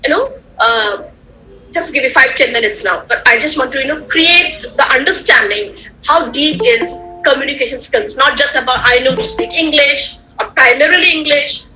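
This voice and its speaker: A woman whose first language is Tamil, giving an animated online lecture to students, repeating points and checking that they follow. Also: sits high, normal speed, soft background music, rough recording. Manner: animated, repetitive, rhetorical questions to listeners. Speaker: guest lecturer. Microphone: computer mic on a video call.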